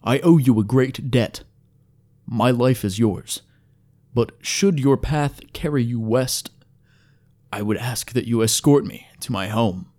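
A man voicing a wounded male elf with a hoarse, weak voice and formal, halting, courteous speech in a fantasy audiobook. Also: medium pitch, darkish tone, lightly acted. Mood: weak, solemn.

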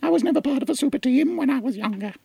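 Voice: high-pitched, animated, racing, gravelly, warm tone, breathy edge, no room sound, full character voice. A performer voicing an ancient, self-important cosmic being in a comedic audio drama, musing grandly and gloomily. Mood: grandiose, brooding. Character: Alpha Omega, ancient grandiose cosmic super-pet, melancholy, self-important.

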